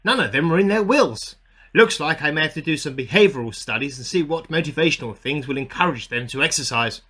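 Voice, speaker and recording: A man's voice: on the high side, lively intonation, brisk, pushed and bright. A man playing an eccentric amateur scientist who earnestly records a self-important secret diary in a radio play. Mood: puzzled, deflated. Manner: earnest, self-important, musing aloud in dated log entries.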